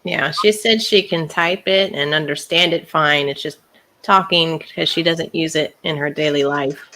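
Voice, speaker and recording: A co-host joins a relaxed live chat with a bright, lively voice, laughing often between lines. Mid-pitched, passing along what viewers type. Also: moderate pace. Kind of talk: casual chat.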